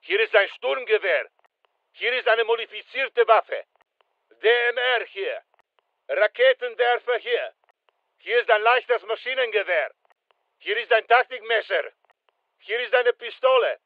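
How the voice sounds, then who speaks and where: A man's voice: extremely high pitch, expressive, unhurried, long pauses, no room sound, phone-quality sound, full character voice. A male actor playing a terse special forces soldier in a military shooter game, giving clipped, commanding callouts. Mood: terse, businesslike.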